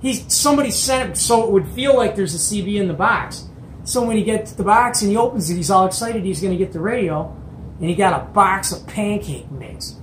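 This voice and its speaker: Man, middle-aged, casual storytelling, colloquial and animated, roomy sound, faint noise. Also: high-pitched, brisk. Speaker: host of a CB radio show. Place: small indoor hobby radio room. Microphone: camera or phone at arm's length. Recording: roomy echo, background noise.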